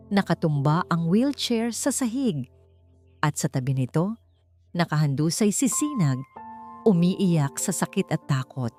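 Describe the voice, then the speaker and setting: A woman narrating a scripted story in a theatrical, suspenseful way with deliberate pauses. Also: fairly low pitch, big pitch swings, a little mellow, faint music behind. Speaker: audiobook narrator.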